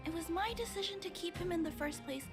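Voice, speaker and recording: A woman voicing a gentle, earnest young girl in a video game, polite and sincere. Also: very high voice, fast talker. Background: game music.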